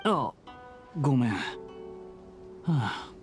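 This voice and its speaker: A man's voice: medium pitch, lively intonation, very slow. An actor playing a puzzled, mild young townsman in an anime game, gossiping casually. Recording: music behind the voice.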